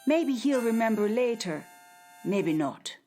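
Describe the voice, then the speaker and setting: Soothing caretaker of old stars, gentle, reassuring, fairly high, faint music behind. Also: female voice, lively intonation, slow. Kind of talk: children's radio drama.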